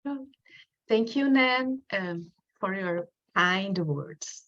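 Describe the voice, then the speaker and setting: A woman from Brazil with a strong Brazilian accent, a Portuguese speaker giving a prepared academic lecture in a careful, measured way with frequent ums. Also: medium pitch, animated, a bit bright, muffled recording. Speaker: guest lecturer.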